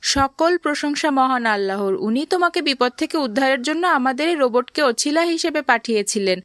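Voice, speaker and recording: Woman as a high-voiced earnest cartoon boy. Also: animated, fairly quick, fairly bright tone, no echo, clean studio sound. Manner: earnest, explains patiently. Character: pious, kind young boy who owns a robot. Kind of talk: children's cartoon voice acting.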